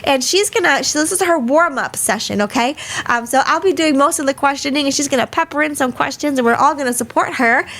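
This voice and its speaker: A woman with a high voice hosts a live webinar from a small studio. She sounds a little hoarse from a cold. She talks in a chatty way and greets attendees one after another. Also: brisk, a bit bright. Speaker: webinar host. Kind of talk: casual live webinar hosting. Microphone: studio mic.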